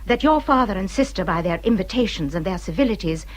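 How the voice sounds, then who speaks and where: An actress plays an embittered English widow in a period radio drama. Her voice is breathy and husky, with very animated pitch that rises and falls dramatically. She speaks formally and emphatically, as a wronged woman warning a friend. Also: quick pace, close to an everyday voice, rough recording. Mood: controlled, confiding. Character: bitter, injured widow warning a younger friend.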